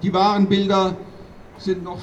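High-pitched man giving an emphatic rally speech. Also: flat delivery, muffled recording. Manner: accusatory, emphatic, declamatory with deliberate phrasing. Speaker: activist doctor speaking at a protest rally. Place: outdoor rally. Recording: distant outdoor mic with clear noise.

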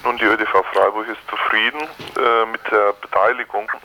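A man giving measured, hesitant answers as a union official over a noisy telephone line. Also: lowish voice, steady tone.

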